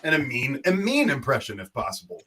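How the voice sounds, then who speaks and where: A man's voice: medium pitch, wildly swooping pitch, brisk, fairly bright tone, a little hiss or hum. Self-mocking man co-hosting a comedy podcast. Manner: rambling, self-mocking banter. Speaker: podcast co-host. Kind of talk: casual comedic chat.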